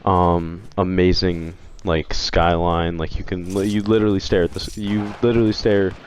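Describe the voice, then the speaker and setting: Low-voiced young man, hoarse and rambling. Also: little pitch movement, normal speed, slight background noise. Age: young adult. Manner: rambling, hesitant, many restarts. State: hoarse from illness. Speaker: video game commentator. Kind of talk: casual opinion vlog.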